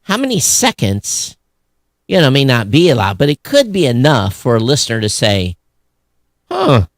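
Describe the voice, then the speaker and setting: A man's voice: medium pitch, wildly swooping pitch, moderate pace, no echo, clean studio sound. A man hosting a podcast in casual, emphatic discussion, often voicing both sides of an imagined exchange. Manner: emphatic, acts out imagined dialogue. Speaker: podcast host.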